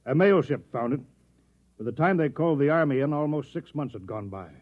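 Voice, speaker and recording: An actor playing a heavyset, businesslike army colonel in a 1950s radio drama, curt and matter-of-fact. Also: male voice, fairly high pitch, expressive, a little fast. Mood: grave, brisk. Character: fat, businesslike army colonel giving briefing. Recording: thin, phone-like vintage radio recording.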